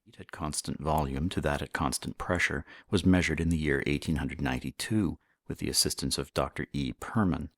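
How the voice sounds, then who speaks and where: A man with a husky voice reads a historical science text as formal, measured audiobook narration. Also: rumbling low, fairly bright tone, no room sound, clean studio sound. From Canada. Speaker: audiobook reader.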